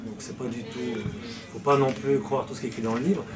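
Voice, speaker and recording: A man's voice: mid-range voice, steady tone, quick pace, over music. A man from Switzerland, a novelist answering interview questions in a hesitant way, with many fillers and restarts.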